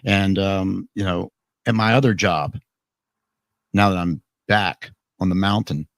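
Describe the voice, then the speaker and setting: A man hosting a live radio talk program, his voice worn hoarse, chatting casually and jokingly with many restarts. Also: low-pitched, steady tone, measured pace, no echo.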